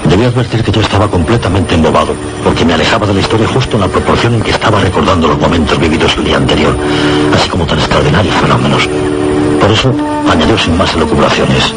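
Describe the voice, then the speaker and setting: An actor playing an impressionable, uneasy narrator-protagonist in a Spanish radio suspense drama, with a Castilian accent and an earnest manner. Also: male voice, deep voice, fast, over loud music, natural voice. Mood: absorbed recounting. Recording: heavily noisy radio drama recording.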